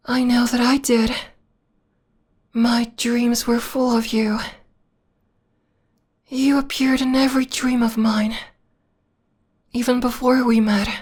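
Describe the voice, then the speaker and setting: Woman acting a breathy, whispery, obsessed vampire girlfriend. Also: a little high, a little mellow. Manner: slow, tender, lingering delivery. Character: possessive, obsessed female vampire lover, clingy and adoring. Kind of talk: intimate ASMR roleplay.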